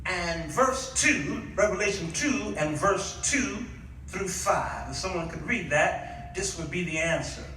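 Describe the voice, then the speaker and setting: An American man preaching in an animated, folksy storytelling style to a congregation. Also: high-pitched, unhurried, a little hiss or hum. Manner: animated, folksy, acting out exchanges; rhetorical questions to the congregation. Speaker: guest preacher. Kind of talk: storytelling sermon. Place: church, before a congregation. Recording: echoey hall, picked up from far off.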